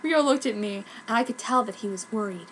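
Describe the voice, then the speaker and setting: A woman's voice: fairly high pitch, fairly quick, a bit bright. A woman reading fan fiction aloud as an amateur, with a theatrical, exaggerated delivery. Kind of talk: dramatic amateur story reading.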